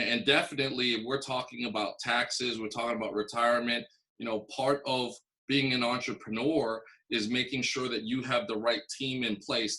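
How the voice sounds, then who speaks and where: A man's voice: medium pitch, bright, forceful tone, low-quality recording. A man hosting a video, giving money advice in a loose, direct way, with casual swearing and frequent check-ins with his viewers. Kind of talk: casual talk to camera.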